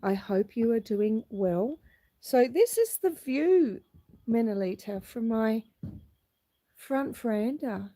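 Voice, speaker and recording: A middle-aged Australian woman with a husky, hoarse voice from a cold, chatting casually as she greets viewers on a live stream from her homestead, filming on her phone outdoors. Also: on the high side, animated, slow, warm tone. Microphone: phone camera.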